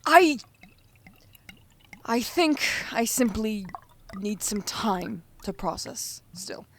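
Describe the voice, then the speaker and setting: An actor playing a hesitant, stammering young noble. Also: male voice, high-pitched, animated, vocal fry, slight background noise, full character voice. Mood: uneasy. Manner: halting, stammering, forced politeness. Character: reluctant young nobleman, uneasy son. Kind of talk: audio drama acting.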